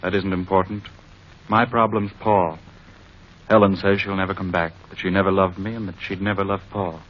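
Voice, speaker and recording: Guy acting a worried loving dad on old time radio, lowish voice, muffled hissy recording. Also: flat delivery, moderate pace, close to an everyday voice. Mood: troubled. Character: troubled, tender father abandoned by his wife. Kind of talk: 1940s-style radio drama acting.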